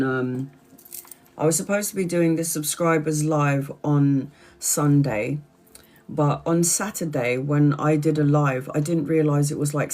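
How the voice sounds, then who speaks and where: A woman's voice: deep voice, a little mellow. Congested woman chatting on a livestream. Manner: rambling, tired, chatty. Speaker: tarot reader. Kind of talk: casual livestream chat.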